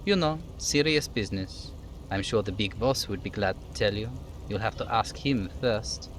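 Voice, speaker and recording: A man's voice: lowish voice, lively intonation, normal speed, a little hiss or hum, natural voice. A man voicing a chatty, easygoing striking union worker in a video game, with a folksy, teasing manner.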